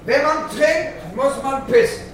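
An actor playing a crude barroom sage proclaiming rules of life in a radio play. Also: male voice, extremely high pitch, expressive, fairly bright tone, heavily acted. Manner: drawn-out proclaiming. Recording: distant, very echoey, faint noise.

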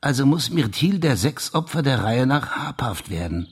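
An actor playing a police official in a German crime radio drama, insistently laying out his theory with a husky, gravelly voice. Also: mid-range voice, very animated, moderate pace. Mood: grave, deducing.

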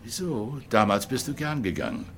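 An actor playing a police chief in a German radio drama, businesslike and apologetic but dryly needling, like an old friend. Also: male voice, mid-range voice, big pitch swings, normal speed, a little hiss or hum. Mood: dry, teasing. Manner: businesslike, hesitant, dryly teasing. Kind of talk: German radio drama acting, office dialogue.

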